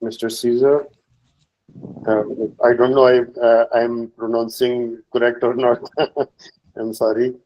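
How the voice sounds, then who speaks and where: A man explaining software deployment steps in a workshop, pausing often with fillers. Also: mid-range voice, little pitch movement, highs cut off. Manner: hesitant, many filler pauses. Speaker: workshop instructor.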